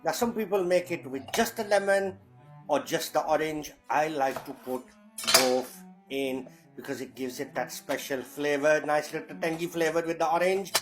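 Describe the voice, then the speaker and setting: A man's voice: fairly high pitch, steady tone, moderate pace, over music. Swearing Yorkshire man in a kitchen. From Yorkshire, England. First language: Indian heritage. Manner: crude, swearing, jokey and blunt. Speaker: cooking show host. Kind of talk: sweary comic recipe demo. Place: home kitchen.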